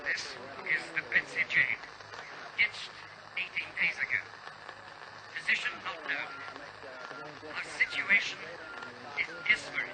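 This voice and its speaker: An actor playing an exhausted castaway sending a desperate distress call over a radio link. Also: male voice, on the high side, animated, measured pace, long pauses. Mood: desperate, weary. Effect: radio transmission. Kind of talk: radio drama acting. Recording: faint, noisy simulated radio transmission.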